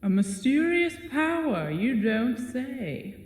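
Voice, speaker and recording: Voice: high voice, sing-song, slow pace, heavily acted. An actor playing a giant talking spider, genteel and mockingly polite, in a theatrical audio drama style. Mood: sly, knowing. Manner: theatrical, mock-courteous. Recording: echoey cavern reverb with noisy ambience.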